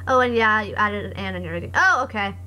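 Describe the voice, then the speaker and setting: A young woman streamer with a hoarse voice, chatting casually and reading comments aloud in a rambling, sarcastic way. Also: medium pitch, expressive, quick pace, sharp tone. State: hoarse after voice acting. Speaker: live streamer.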